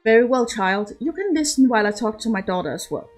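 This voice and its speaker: A woman voicing a stern, cruel noble mother in a story, with an imperious, measured way of speaking. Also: on the high side, animated, soft background music. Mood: condescending.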